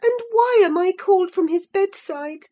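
A woman voicing a proud, quick-tempered young gentlewoman who scolds sharply. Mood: indignant. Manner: indignant, scolding, high-pitched.

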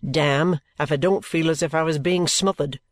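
A man reading a blunt, steady old trooper. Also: a little high, normal speed, no room sound, natural voice. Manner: blunt, steady, plain-spoken. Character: blunt, composed ex-soldier, a plain-spoken trooper.

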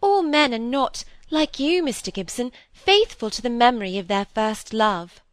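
A woman's voice: fairly high pitch, normal speed, no echo, studio quality, pitched above the performer's own voice, natural voice. A woman voicing a timid, sentimental older spinster from a storybook in a tender, piping voice. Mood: tender, wistful.

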